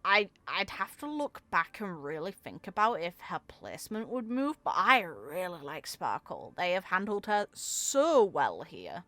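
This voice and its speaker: Bright-voiced woman giving casual game commentary. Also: fairly low pitch, very animated, a little slow, few pauses, no echo. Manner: informal, musing aloud. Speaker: video game content creator.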